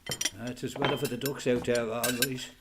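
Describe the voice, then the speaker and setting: A man's voice: mid-range voice, level tone, normal speed, heavy background noise, natural voice. An actor playing an older Dublin father and former freedom fighter in a radio play, with an Irish accent and a folksy, colloquial way of talking. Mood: easygoing, hospitable. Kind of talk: radio play acting, conversation and reminiscence.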